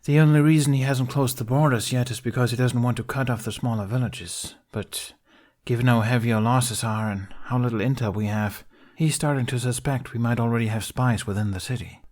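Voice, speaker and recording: A man's voice: mid-range voice, fairly even intonation, fairly quick, darkish tone, natural voice. A man voicing a tough, exasperated young warrior woman in a fantasy audio story, blunt and teasing. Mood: grave.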